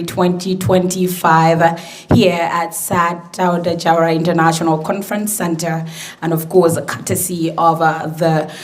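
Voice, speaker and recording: A hoarse woman with a Gambian accent, a radio presenter moderating a formal launch event at a conference centre in an upbeat, chatty way. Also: fairly low pitch, darkish tone. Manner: upbeat, chatty, with many fillers. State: hoarse from a flu.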